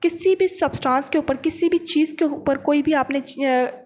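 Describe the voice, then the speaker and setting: A woman's voice: sits high, moderate pace, darkish tone. A woman with a Pakistani accent giving an explanatory law lecture, mixing in English legal terms and restating points in a didactic way. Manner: didactic, repeats and rephrases points, poses questions and answers them. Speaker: law teacher. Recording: noticeable background noise.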